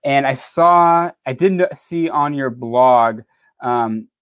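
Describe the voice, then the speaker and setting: A man's voice: medium pitch, slow, clear, resonant tone, lo-fi sound. A man hosting a casual podcast interview, talking loosely with many restarts. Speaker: podcast host. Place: at home in Southern California.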